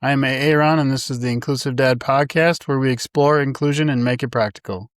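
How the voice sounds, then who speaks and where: A man's voice: mid-range voice, fairly quick, no room sound, clean studio sound. A man hosting a podcast, greeting listeners and chatting casually with a guest in a friendly, slightly rambling way. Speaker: podcast host. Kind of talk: podcast interview.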